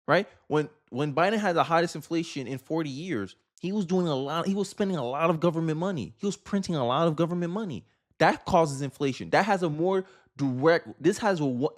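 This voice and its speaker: An American man giving casual, opinionated political commentary in an exasperated, emphatic way, firing off rhetorical questions. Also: on the high side.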